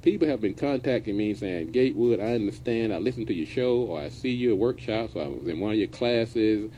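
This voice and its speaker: A man's voice: fairly low pitch, mellow, a little hiss or hum, rough recording. A middle-aged man hosting a business radio show, talking in a folksy, casual way and now and then dropping verbs. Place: radio studio.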